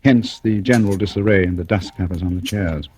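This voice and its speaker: An actor playing a suave, mocking, cold-blooded British blackmailer in an old-time radio thriller, speaking with urbane deliberation. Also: male voice, sits low, fairly quick, a little mellow, lightly acted. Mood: smooth, businesslike. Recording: noisy vintage radio recording with clicks.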